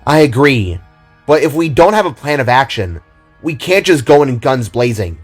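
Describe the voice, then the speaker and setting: Man as a firm, cautious heroine, raised pitch. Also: very animated, moderate pace, faint music behind. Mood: cautioning. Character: cautious, level-headed female Spark teammate.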